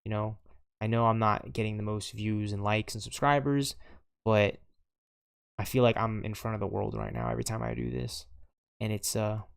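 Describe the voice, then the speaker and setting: A man's voice: lowish voice, lively intonation, moderate pace, gravelly, clean tone. A man in his twenties talking casually over gameplay, rambling with many fillers and repeats. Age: 20s. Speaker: gaming streamer.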